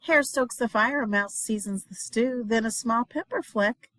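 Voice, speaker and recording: A woman reading a children's picture book aloud in a dramatic, expressive way, dropping into hushed refrains. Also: on the high side, normal speed, dry recording. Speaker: storybook reader, teacher.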